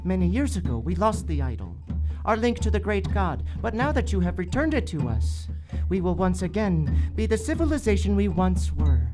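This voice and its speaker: A woman's voice: lowish voice, sing-song, moderate pace, hardly any pauses. A woman playing a solemn, menacing jungle priest in a radio adventure, speaking in formal, grandiose phrases. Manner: formal, grandiose, refers to self in third person. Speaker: actor in live radio theater. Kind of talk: melodramatic radio adventure acting. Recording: live stage recording with faint music.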